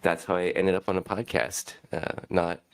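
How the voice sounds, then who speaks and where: A man in his thirties from Hong Kong talks as a podcast guest. His voice sits very low, and he tells his story in a relaxed, friendly, chatty way. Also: moderate pace. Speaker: financial advisor guest. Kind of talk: casual podcast chat.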